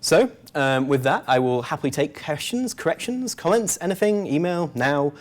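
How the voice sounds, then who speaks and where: A man's voice: on the high side, animated, normal speed. A man in his thirties giving a casual, wry tech meetup talk at a podium microphone. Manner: casual, filler-heavy, wry. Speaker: conference speaker.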